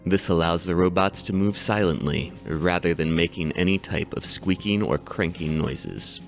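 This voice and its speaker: Deep-voiced professor, measured lecturing, faint music bed. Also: male voice, gravelly. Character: calm adult male robotics professor. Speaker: doctor teaching a robotics class. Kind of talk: audiobook character reading.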